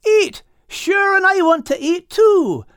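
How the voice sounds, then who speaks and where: A man voicing an excitable, food-loving and timid animal in an audiobook. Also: very high-pitched, slow pace, no echo, clean studio sound, voice pushed up, full character voice. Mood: eager. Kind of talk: audiobook character acting.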